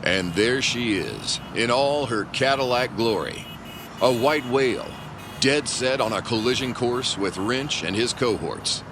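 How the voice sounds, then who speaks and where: A bombastic, slow serial announcer. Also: male voice, medium pitch, expressive, noisy background. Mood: melodramatic. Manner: grandiose, theatrical pauses. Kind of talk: melodramatic scripted serial narration. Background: music.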